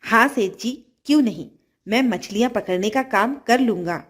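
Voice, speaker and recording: A woman's voice: on the high side, normal speed, lightly acted. A woman voicing a poor, kind-hearted young boy in a children's fairy tale, polite, earnest and pleading.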